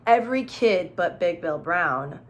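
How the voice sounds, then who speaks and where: A woman teacher reading a picture book aloud to young children, playful and expressive, pausing to ask simple questions and explain. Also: on the low side. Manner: expressive, playful, with gasps and exclamations. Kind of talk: children's storybook read-aloud with questions and asides.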